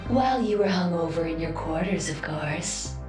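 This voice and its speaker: A woman's voice: fairly low pitch, animated, lightly acted. Woman acting composed, echoing female android. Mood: matter-of-fact. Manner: precise, measured, formal. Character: composed, precise female android crew member, dutiful. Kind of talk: video game voice acting. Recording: echoing voice with loud music.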